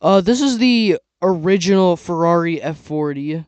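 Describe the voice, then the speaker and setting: Young male vlogger, rambly casual commentary, clear voice with a slight hoarseness. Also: high-pitched, lively intonation, normal speed, a little mellow, no room sound. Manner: rambling, filler-heavy, trailing off. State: losing his voice. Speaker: YouTuber ranking toy sets. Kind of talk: casual tier-list commentary.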